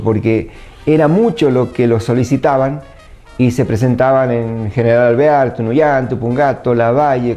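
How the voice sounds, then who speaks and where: An older man recalls the past in an interview, pausing hesitantly between phrases. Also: medium pitch, warm tone. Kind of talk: reminiscing interview talk.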